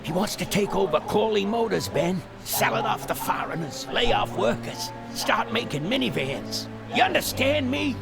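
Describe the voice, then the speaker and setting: An actor playing a feisty, dying old company boss in a video game, weak and gravelly. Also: male voice, sits high, very animated, normal speed, hardly any pauses, exaggerated voice. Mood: bitter, indignant. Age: older. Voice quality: gravelly, coughing. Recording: music behind the voice.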